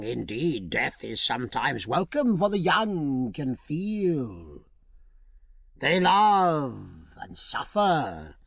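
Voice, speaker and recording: A man's voice: vocal fry, fairly bright tone, no room sound, full character voice. A man voicing an ancient, wicked old witch who shrieks and cackles in a storybook reading. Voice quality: shrill and cackling.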